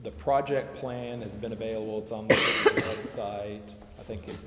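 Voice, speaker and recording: A man's voice: on the low side, fairly even intonation, moderate pace. A man explaining things at a public meeting as a consultant, hedging and repeating himself as he goes. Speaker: consultant presenting to a city council. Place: large indoor council chamber. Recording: echoey meeting hall with background noise.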